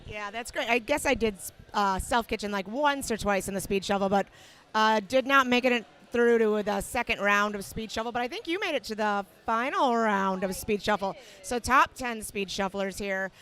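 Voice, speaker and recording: A woman commentating a tournament livestream, slightly hoarse today, explaining strategy in a relaxed way with many fillers. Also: mid-range voice, normal speed. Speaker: match commentator. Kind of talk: casual sports commentary.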